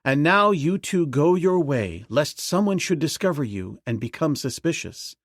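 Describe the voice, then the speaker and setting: A man voicing a calm, grave jungle hero in a storybook reading, measured and steady. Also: on the high side, no echo, studio quality, pitched above the performer's own voice.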